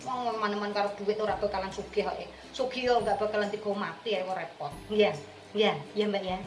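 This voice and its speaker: A woman's voice: mid-range voice, fairly even intonation, fairly quick, a little hiss or hum. A woman from Indonesia with an East Javanese accent, chatting casually and colloquially to her viewers in slangy, direct address. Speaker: vlogger, returned migrant worker. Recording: roomy echo with music behind.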